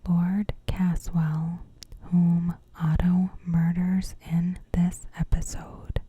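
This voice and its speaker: A woman narrating a recap in ASMR style, in a hushed, breathy whisper with measured delivery. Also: on the low side, little pitch movement, dry recording. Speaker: ASMR video host.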